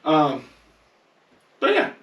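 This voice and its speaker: Male podcast host, slightly higher register, casual chat, set back in a very roomy space. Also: wildly swooping pitch, extremely slow, dull audio. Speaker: podcast co-host moving the rankings along. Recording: echoey room, distant from mic.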